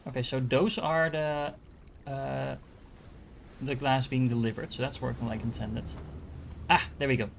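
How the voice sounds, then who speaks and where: A man's voice: medium pitch, fairly even intonation, a little slow, gravelly, long pauses, slight background noise. A man from the Netherlands with a Dutch accent, casually commenting on a game he is playing and thinking aloud with many fillers and restarts. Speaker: gaming streamer.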